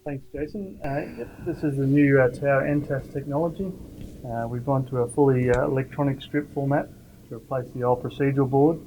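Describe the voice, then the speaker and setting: A middle-aged man giving a matter-of-fact, informal technical demonstration, with hesitant pauses. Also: mid-range voice, fairly even intonation, mellow. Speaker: air traffic tower supervisor. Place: indoors, glass-walled control tower cab. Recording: dull sound, faint room noise.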